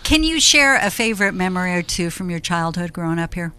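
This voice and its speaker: Bright-voiced interviewer asking short questions. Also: medium pitch, animated, fairly quick, smooth. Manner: brief, direct questions.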